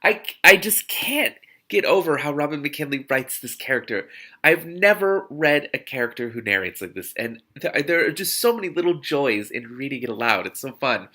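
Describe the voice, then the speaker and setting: A man's voice: medium pitch, animated, moderate pace, bright, forceful tone. A man hosting a read-aloud, reading a novel expressively and breaking off for enthusiastic asides. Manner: enthusiastic, interjects reactions. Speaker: host of a book read-aloud show. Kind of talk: expressive audiobook-style reading with spontaneous asides.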